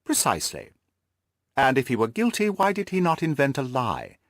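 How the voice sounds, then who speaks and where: A man voicing an incisive, composed gentleman detective in an audiobook, questioning and reasoning with precise emphasis. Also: mid-range voice, huge pitch swings, moderate pace, no room sound, studio quality, pitched above the performer's own voice. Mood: sceptical. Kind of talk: audiobook character acting.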